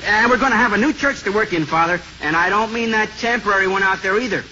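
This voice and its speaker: A man's voice: sits high, fast talker, background noise, lightly acted. A man playing a cheerful, joking priest in a 1950s radio drama. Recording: muffled vintage radio broadcast with music.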